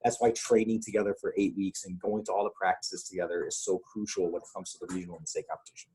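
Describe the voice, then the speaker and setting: Low, mellow, husky man explaining guidelines. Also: level tone, quick pace, rough recording. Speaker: sports program coordinator leading a coaches' conference call. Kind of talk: informal instructional presentation with questions.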